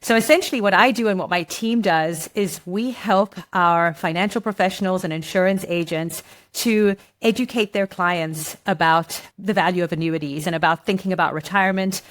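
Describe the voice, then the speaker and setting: Middle-aged South African woman, podcast guest, fairly low voice, chatty and explanatory. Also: moderate pace. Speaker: guest, marketing director. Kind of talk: podcast interview.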